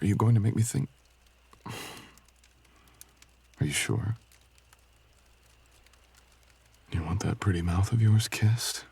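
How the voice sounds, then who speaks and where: Guy playing a brooding dragonkin man, really low husky voice, soft and tender, intimate asmr roleplay. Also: exaggerated voice. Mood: hushed, yearning. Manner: soft, hesitant, tender, archaic courtly phrasing. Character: brooding, gentle dragonkin man, a mysterious stranger.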